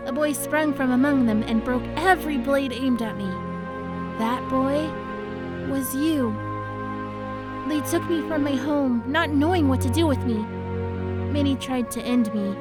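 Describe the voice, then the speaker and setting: A woman voicing a young succubus girl in an animated series, wistful and devoted, with a melodramatic, plaintive delivery. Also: sits high. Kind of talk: animated web series voice acting. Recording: music behind the voice.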